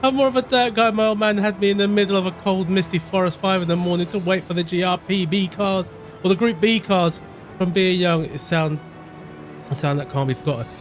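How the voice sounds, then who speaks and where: A man from Portugal streaming a racing game live, shouting and crying out during close racing moments, then chatting calmly with viewers. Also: high-pitched, a little fast, faint music behind. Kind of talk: live gameplay commentary and chat reading.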